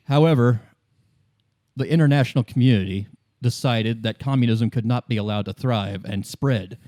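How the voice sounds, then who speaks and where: A man's voice: medium pitch, mellow, dry recording, studio quality. A man improvising narration as a tabletop game master, conversational and often rephrasing as he goes.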